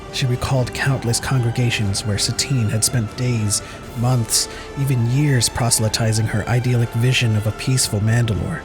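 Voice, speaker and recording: A man reading scripted dramatic narration as the narrator of an audio story. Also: fairly low pitch.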